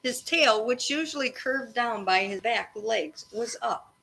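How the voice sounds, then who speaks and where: Slow-paced woman reading a storybook aloud, noisy background. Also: on the high side, creaky. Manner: animated, engaging a young listener with asides. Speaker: reader reading a children's book aloud to a child. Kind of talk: expressive storybook read-aloud narration.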